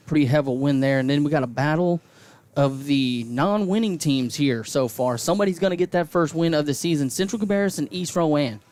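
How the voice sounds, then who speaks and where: A play-by-play man with a slightly higher voice runs through the scores for local radio. He chats easily and keeps agreeing with his partner. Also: a little mellow, no room sound. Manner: agreeable, quick to chime in, conversational. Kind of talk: high school football radio commentary. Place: press box at a high school stadium.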